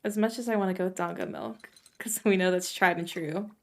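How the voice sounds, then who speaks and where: A woman's voice: fairly low pitch, brisk, gravelly, a little mellow. A woman giving casual live commentary while playing a video game. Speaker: game streamer. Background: faint game music.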